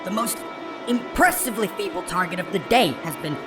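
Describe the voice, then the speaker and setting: A man's voice: high-pitched, lively intonation, a little fast. An actor playing a scornful, sardonic alien gunman in an audio drama, needling and exasperated. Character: scornful, exasperated male alien gunman scheming with his brother. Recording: music bed behind the voice.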